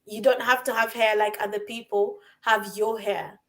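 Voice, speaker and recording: A woman's voice: mid-range voice, little pitch movement, moderate pace, fairly bright tone. A woman coach speaking as a podcast guest, emphatic and repeating her key phrases for stress. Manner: frequent restarts and fillers. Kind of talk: casual conversation.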